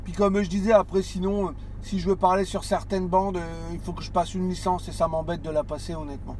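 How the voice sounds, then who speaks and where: CB operator: high-pitched man, halting casual talk from his car. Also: flat delivery, a little mellow, a little hiss or hum. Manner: hesitant, many restarts and fillers. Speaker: CB radio operator. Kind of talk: casual radio chat. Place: parked car.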